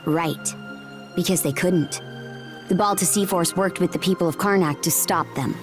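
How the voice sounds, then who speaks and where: An actress playing a proud, blunt warrior princess of seafaring pirates in a video game, telling things in a commanding, matter-of-fact way. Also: female voice, fairly low pitch, normal speed, music in the background, natural voice. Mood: stern, explanatory. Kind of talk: dramatic video game voice acting.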